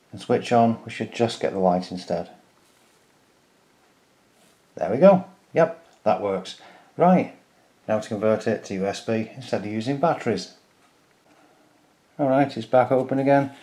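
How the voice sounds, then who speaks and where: A man with a fairly low voice and a soft, dark, relaxed tone. He narrates a step-by-step DIY tutorial casually, in an informal and plain-spoken way. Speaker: DIY electronics video host.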